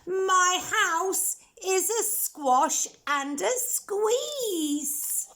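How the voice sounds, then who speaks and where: A woman voicing a fretful little old lady who wails and pleads about her crowded house, in a high, whining voice. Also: expressive, very slow, bright, forceful tone, full character voice. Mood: pleading.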